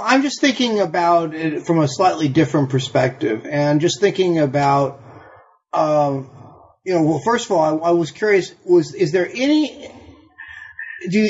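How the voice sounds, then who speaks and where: Male interviewer, slightly high voice, thoughtful and tentative, roundabout academic questioning, mildly lo-fi recording.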